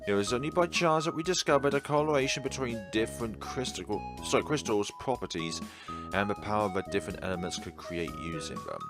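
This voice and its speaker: A man's voice: lowish voice, expressive, a little fast, over music. A man hosting a gaming video, giving rambling commentary and reading game text aloud. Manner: rambling, musing asides.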